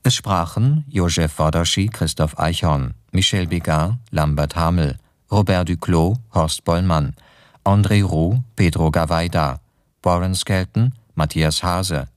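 Low-voiced, dry-sounding male radio announcer. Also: a little slow, dry recording. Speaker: radio announcer reading credits. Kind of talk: scripted broadcast announcement.

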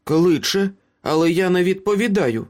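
A man's voice: high-pitched, animated, moderate pace, a little mellow, pitched above the performer's own voice. A man voicing a tough, angry young woman in a storybook, with curt, menacing demands. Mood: firm.

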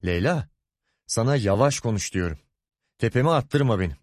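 Exasperated husband in a storybook, male narrator acting: proud, stern, short fuse, lively raised intonation. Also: on the low side, moderate pace, no room sound, studio quality, pitched above the performer's own voice. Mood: stern, angry. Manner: stern, warning, gritted-teeth indignation. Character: hot-tempered, proud, exasperated husband and father.

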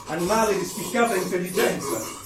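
An actor playing a cold, sadistic torturer of a totalitarian regime, delivering deliberate, pompous, menacing theatrical speeches. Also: male voice, high voice, natural voice. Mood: calm, sadistic. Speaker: stage actor. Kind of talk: theatrical dystopian monologue. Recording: roomy, distant, noisy stage recording.

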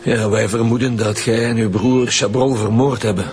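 An actor with a Flemish accent playing a blunt, matter-of-fact police commissioner in a radio drama. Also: male voice, on the low side, steady tone, moderate pace, over music, lightly acted. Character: male police commissioner, dry and sure.

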